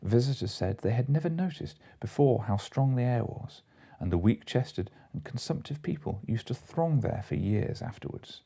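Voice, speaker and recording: A man's voice: mid-range voice, expressive, normal speed, gravelly, hardly any pauses, mellow. A man narrating classic comic fiction as an audiobook reader, from a script.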